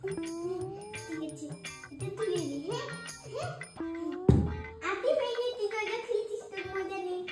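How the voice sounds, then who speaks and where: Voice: extremely high pitch, expressive, a little slow, long pauses. A performer voicing an eager, meek young child in a children's cartoon, pleading and compliant, with echo and music. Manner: pleading, compliant, wide-eyed. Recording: echoey, loud children's music behind.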